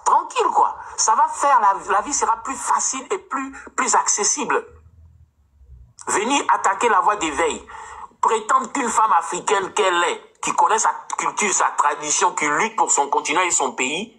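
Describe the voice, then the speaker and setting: Man, indignant monologue, accusatory, repetitive, sharp bright tone. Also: high-pitched, expressive, moderate pace, breathy edge. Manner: indignant, accusatory, repeats himself. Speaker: live stream speaker in a played clip. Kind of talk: heated monologue.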